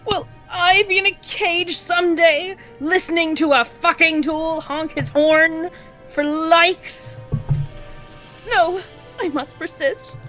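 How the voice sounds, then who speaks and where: A woman's voice: very high voice, steady tone, bright, forceful tone, soft background music, full character voice, poor audio. A woman playing a haughty, sinister and lonely alien noblewoman in a narrated story, speaking in a formal, theatrical, self-pitying manner. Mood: anguished, resolute. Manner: slow, theatrical, formal speech. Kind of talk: dramatic character acting in narrated story.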